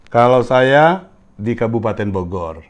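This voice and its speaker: A man's voice: medium pitch, lively intonation, a little slow. Chatty middle-aged man hosting. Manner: chatty, joking, prompts with questions. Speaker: host and acting teacher. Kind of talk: casual interview.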